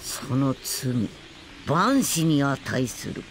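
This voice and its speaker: A pompous villain god, wildly swooping pitch. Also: male voice, sing-song, drawn-out words, background noise. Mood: grandiose, self-righteous. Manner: pompous, imperious, archaic formal speech. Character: arrogant male god villain scorning humans, cold and grandiose. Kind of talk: Japanese anime game voice acting. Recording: loud dramatic music behind the voice.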